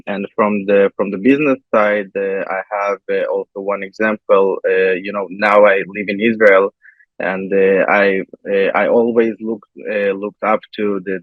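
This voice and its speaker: Man on a tinny call line, low flat voice. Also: no room sound. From Turkey. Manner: halting, many "uh" fillers. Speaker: interview guest, software engineer. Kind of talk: casual interview answers. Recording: tinny phone-like line, dry.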